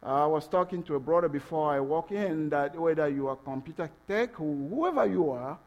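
A man from Togo with a French-English accent preaching a sermon in a church, halting with fillers, then building to passionate emphasis. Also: fairly high pitch, a little mellow, lo-fi sound. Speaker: pastor. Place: church sanctuary.

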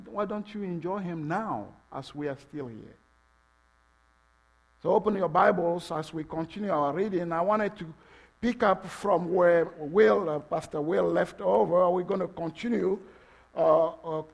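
A man from Togo with a French-English accent preaching a sermon in a church, halting with fillers, then building to passionate emphasis. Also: sits high, gravelly, darkish tone, husky. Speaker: pastor. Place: church sanctuary.

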